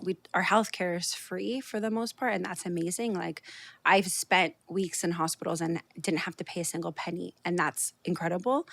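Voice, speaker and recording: A woman's voice: lowish voice, creaky, a bit bright. A Canadian woman in her thirties, a podcast guest talking openly and earnestly, with lots of "you know" fillers. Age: 30s. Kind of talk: candid conversational interview.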